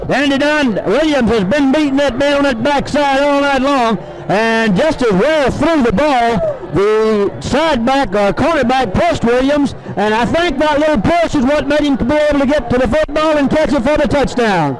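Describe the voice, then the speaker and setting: A man's voice: very high voice, moderate pace, low-quality recording. A man gives folksy, conversational football analysis as color commentator on a 1970s radio broadcast. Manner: folksy, conversational, addresses his partner by name.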